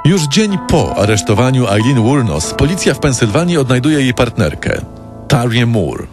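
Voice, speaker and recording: A man's voice: mid-range voice, very animated, moderate pace. A man narrating a scripted true-crime radio story in a dramatic storytelling manner. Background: prominent music bed.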